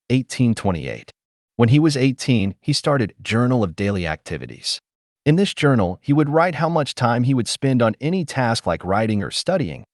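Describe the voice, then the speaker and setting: Male audiobook narrator for self-help nonfiction: mid-pitched, animated intonation, clear instructional phrasing, studio-dry sound. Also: no room sound.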